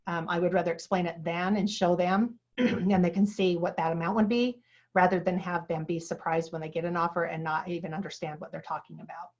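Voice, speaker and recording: A woman teaching a real estate class on listing paperwork, chatty and full of asides and fillers, with a dry throat she keeps clearing. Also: lowish voice, steady tone, brisk. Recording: dull lo-fi sound.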